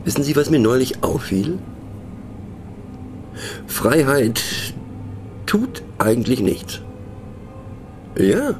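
Musing mocking train passenger, radio play, slow hesitant delivery, creaky, swooping intonation. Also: male voice, mid-range voice, sing-song, faint music behind. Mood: musing, wry. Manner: ponderous, hesitant, drifting into hushed asides.

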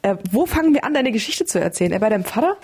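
A woman's voice: a little high, sing-song, very fast, a little mellow, slightly rough. Enthusiastic woman radio host. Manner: enthusiastic, slangy. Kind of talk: radio interview.

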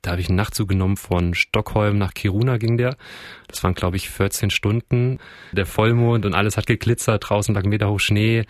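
A man's voice: fairly low pitch, steady tone, brisk, no echo. A man telling personal stories casually as an interviewee. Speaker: interviewee recalling travels.

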